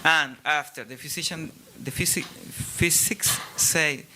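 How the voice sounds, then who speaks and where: A man from Chile with a Chilean Spanish accent, a non-native speaker asking a question from the audience, halting and searching for English words. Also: a little high, background noise. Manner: hesitant, searching for English words, many restarts. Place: large hall, audience Q&A.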